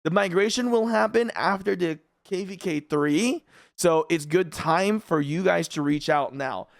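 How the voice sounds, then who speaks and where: A man's voice: high voice, a little slow, creaky, no echo, studio quality. A man from the Philippines, whose first language is Filipino, giving casual, rambling commentary on a strategy game as a channel host. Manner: chatty, rambling, laid-back. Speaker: gaming YouTuber. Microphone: studio mic in a dry room.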